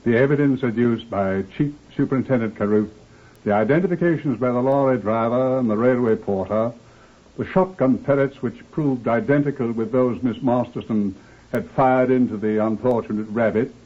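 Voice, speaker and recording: A man's voice: mid-range voice, moderate pace, lightly acted. A man playing a grave, formal narrator of true-crime cases in scripted old-time radio style. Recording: tinny old radio recording.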